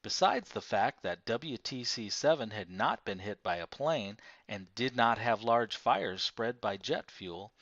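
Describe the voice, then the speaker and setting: A man's voice: on the low side, expressive, unhurried, few pauses, a bit bright, no room sound, muffled recording. A man narrating nonfiction as an audiobook reader, reading from a prepared text.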